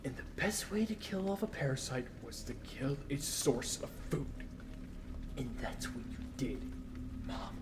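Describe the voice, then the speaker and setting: A man playing a wounded, bitter son confronting his mother in an audio drama, accusing and emphatic in a halting way. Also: medium pitch, very animated. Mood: bitter, resentful. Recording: faint background noise.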